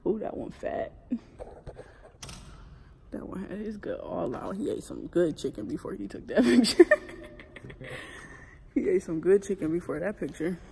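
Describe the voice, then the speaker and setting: A woman's voice: mid-range voice, creaky, mellow, a little hiss or hum. A young woman vlogging casually while touring a museum, giggling and often dropping to a whisper, recorded on a phone at arm's length. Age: young adult. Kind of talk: casual vlog chat. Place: indoor museum galleries. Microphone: phone held at arm's length.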